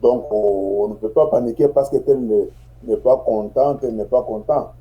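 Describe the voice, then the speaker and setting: A man's voice: mid-range voice, normal speed, highs cut off. A man, a guest on a political talk show, explaining at length and often checking that he is understood. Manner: explanatory, repeats phrases, checks in with "tu comprends?". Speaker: guest commentator.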